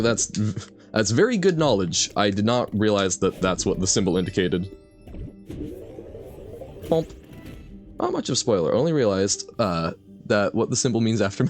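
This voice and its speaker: A man streaming a video game, talking casually to his chat in a rambling, reflective way and laughing often. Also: lowish voice. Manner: reads and answers chat. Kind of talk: casual gameplay commentary.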